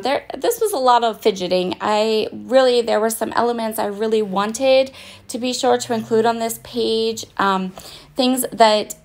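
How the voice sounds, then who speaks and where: A woman giving a casual, chatty voice-over of her craft project at home, her voice raspy and hoarse and she often pauses with "um". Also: fairly high pitch. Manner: chatty and apologetic, with frequent "um"s. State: hoarse, voice partly fading. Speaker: craft vlogger. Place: at home, in bed.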